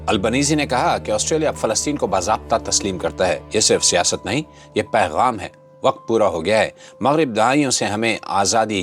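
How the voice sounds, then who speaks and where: A man with a fairly low voice presents scripted commentary as a channel host. His delivery is rhetorical and dramatic with a sardonic edge. Music plays faintly behind him. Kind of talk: scripted opinionated political commentary. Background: background music.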